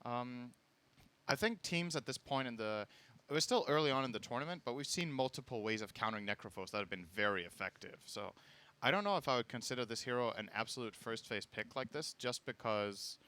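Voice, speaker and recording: A man casting a live esports match, calmly analyzing the teams and their draft picks in a dry, matter-of-fact way. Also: medium pitch, brisk, clear, resonant tone. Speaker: esports caster.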